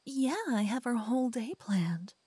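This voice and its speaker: A woman's voice: on the high side, unhurried, breathy edge, dry recording. A woman playing a teasing whispering lover. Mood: playful teasing. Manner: playful, teasing, soft whispering. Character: cocky playful young woman, a devoted lover waking her partner. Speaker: ASMR roleplay performer. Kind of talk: whispered ASMR roleplay. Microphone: binaural mic.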